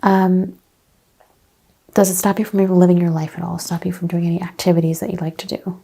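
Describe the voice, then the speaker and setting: A soft-spoken woman playing a chiropractor in an ASMR roleplay, hushed and often drifting into a whisper. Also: fairly low pitch, level tone, a little fast, gravelly, slightly rough. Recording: faint background noise.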